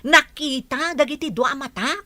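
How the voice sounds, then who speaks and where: A woman acting as a sharp-tongued Ilocano mother in a village radio comedy. Her voice is high, bright and insistent. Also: moderate pace, dry recording.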